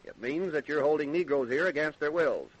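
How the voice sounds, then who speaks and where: An actor playing a skeptical federal investigator in a radio drama, authoritative and probing. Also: male voice, on the high side, brisk, natural voice, poor audio. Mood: stern, skeptical. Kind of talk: old-time radio drama acting.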